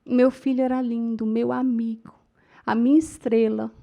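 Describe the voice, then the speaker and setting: A woman's voice: on the high side, flat delivery, normal speed, vocal fry, lo-fi sound. A middle-aged Brazilian woman teaching public speaking to a class, telling stories with feeling and often turning to her listeners. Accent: Brazilian Portuguese. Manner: emotive storytelling, addresses the audience as "gente". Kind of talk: lecture to a class.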